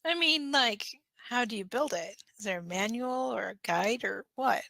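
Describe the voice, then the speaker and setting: A woman playing an excitable, nervous teenage boy with a dramatic, incredulous manner in an amateur audio drama. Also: on the high side, moderate pace, vocal fry, dry recording, clean studio sound, heavily acted. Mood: awestruck.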